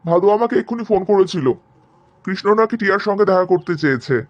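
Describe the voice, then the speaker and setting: Man acting exasperated, high-voiced cartoon husband. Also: lively intonation, quick pace, lo-fi sound. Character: exasperated, sarcastic middle-aged husband and father. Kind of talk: Bengali cartoon family drama acting.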